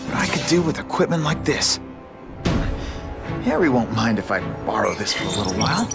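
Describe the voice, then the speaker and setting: An actor playing a wisecracking, earnest young superhero in a video game, talking in a casual, quippy way. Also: male voice, medium pitch, big pitch swings, brisk, creaky. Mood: wry, musing. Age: young adult. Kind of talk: video game cutscene acting. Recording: music behind the voice.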